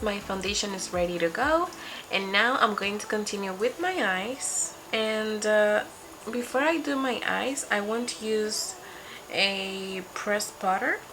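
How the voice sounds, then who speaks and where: A woman's voice: mid-range voice, measured pace, fairly bright tone, a little hiss or hum, poor audio. A young woman whose first language is Spanish, chatting her way through a makeup tutorial in a small room at her desk. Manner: chatty, talks through each step while applying makeup. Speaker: beauty vlogger. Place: small home room with patterned wall. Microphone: webcam framing, seated at desk.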